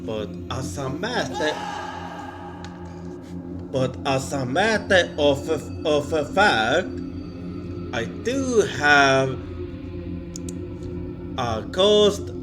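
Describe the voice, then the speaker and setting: Very slow, halting male ghost storyteller. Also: fairly high pitch, sing-song. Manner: halting, hesitant, with long pauses. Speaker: ASMR storyteller. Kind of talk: horror ASMR roleplay, ghost storytelling. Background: music.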